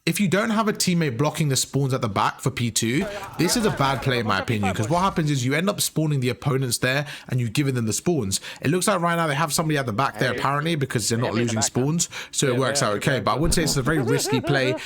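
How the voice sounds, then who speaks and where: A man giving casual, slangy gameplay breakdown commentary as a video host, with a slightly croaky, sore throat. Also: medium pitch, animated, fast talker, crowd noise. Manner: casual, slangy, repeats stock phrases. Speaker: gaming YouTuber, host.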